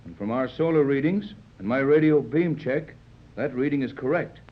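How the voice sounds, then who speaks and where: An actor playing a steady, plain-spoken yacht captain in a 1930s radio adventure serial. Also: male voice, mid-range voice, lightly acted. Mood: grave, explaining. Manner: measured, plain-spoken, reluctant to alarm. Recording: muffled old 1930s radio recording.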